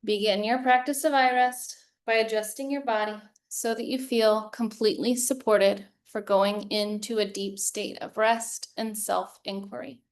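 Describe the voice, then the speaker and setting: Slow, even-toned woman guiding meditation. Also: mid-range voice. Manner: calm, measured, soothing. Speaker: yoga nidra meditation teacher. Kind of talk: guided meditation, slow instructions with long pauses.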